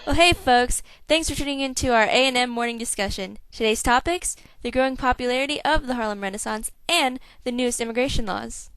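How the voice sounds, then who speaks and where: A woman's voice: on the high side, a little fast, few pauses, lightly acted. A young woman playing a peppy, slangy 1920s radio morning hostess, reading a script like an announcer on a noisy amateur recording. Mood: upbeat, announcing. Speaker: radio show host.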